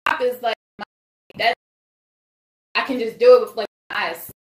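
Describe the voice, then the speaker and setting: A woman's voice: fairly high pitch, fairly even intonation, normal speed. Chatty woman in an echoey room. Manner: rambling, swears casually, many restarts. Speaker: guest singer. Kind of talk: casual podcast chat.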